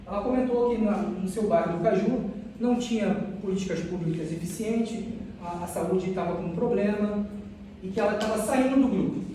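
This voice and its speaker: A Brazilian man giving an academic research presentation as a student, haltingly, often repeating and restarting phrases. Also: high voice, mellow. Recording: distant mic, very echoey room, faint noise.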